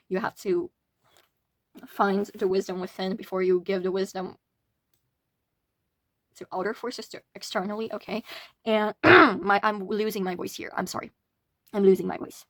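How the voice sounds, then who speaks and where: A young woman from Latvia reads tarot for her viewers in a loose, chatty way. She stumbles and restarts her words and drops the occasional swear. Her voice sits at an ordinary middle pitch, recorded dry and close with no room sound. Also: gravelly, no room sound. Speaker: tarot reader. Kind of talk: casual pick-a-pile tarot reading.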